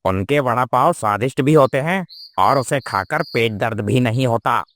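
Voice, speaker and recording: A man's voice: medium pitch, animated, normal speed, fairly bright tone, no echo, studio quality, lightly acted. A man playing an outspoken village customer in a Hindi moral-story cartoon. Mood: complaining. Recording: music behind.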